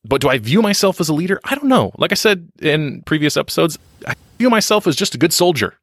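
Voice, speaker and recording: A man's voice: on the high side, very animated, fast, a bit bright, breathy edge, dry recording, clean studio sound. A man talking candidly in a podcast interview, reflective and self-deprecating, often restarting his sentences. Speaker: podcast guest on leadership and success.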